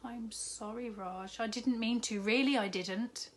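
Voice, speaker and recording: A woman's voice: on the high side, measured pace, pitched above the performer's own voice, lightly acted. A woman voicing a sad, hungry young schoolgirl who speaks sheepishly and mumbles.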